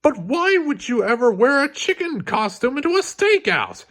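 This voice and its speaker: Man acting a hot-headed cartoon vegetable cop, high strained pitch, indignant, sputtering, storybook style. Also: very animated, normal speed, fairly bright tone, exaggerated voice. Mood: indignant, exasperated. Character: hot-tempered, exasperated cartoon vegetable male detective partner.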